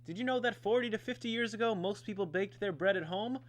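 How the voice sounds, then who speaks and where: A man's voice: high voice, quick pace. An American man announcing in a polished, emphatic 1950s radio style, as if presenting a show and its sponsor.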